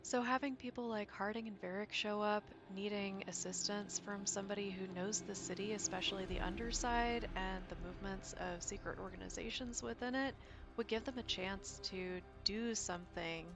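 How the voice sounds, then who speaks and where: A woman's voice: medium pitch, fairly even intonation, moderate pace. Woman giving chatty commentary over music. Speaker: gaming lore video creator. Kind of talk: informal scripted video commentary with asides. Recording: music behind the voice. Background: background music.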